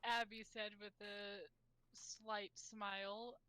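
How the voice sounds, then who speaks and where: A woman's voice: medium pitch, little pitch movement, slow, bright, forceful tone, clean tone, rough recording. A woman reading fan fiction aloud at home, self-conscious and giggly. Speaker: reader in a group reading. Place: home, with a roommate nearby.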